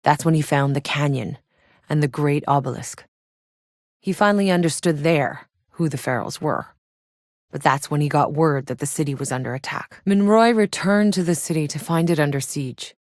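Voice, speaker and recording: A woman's voice: low-pitched, moderate pace, dry recording, clean studio sound. An actress playing a tough, sardonic female soldier and explorer in a sci-fi video game, blunt and matter-of-fact. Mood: grave, reflective. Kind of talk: scripted video game character voice lines.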